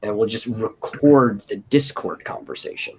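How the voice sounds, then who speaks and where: Low, husky man rambling casually. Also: sing-song, moderate pace, a little mellow. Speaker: co-director planning an audio drama. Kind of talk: casual brainstorming chat.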